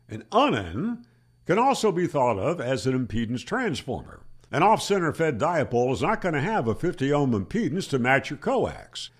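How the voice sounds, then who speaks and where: A man over sixty giving an explanatory tutorial in an emphatic, deliberate manner, speaking into a close desk microphone. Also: on the high side. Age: older, over 60. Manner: emphatic, with rhetorical questions and deliberate repeats. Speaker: amateur radio channel host. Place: small plain room indoors. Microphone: desk microphone on boom arm, close.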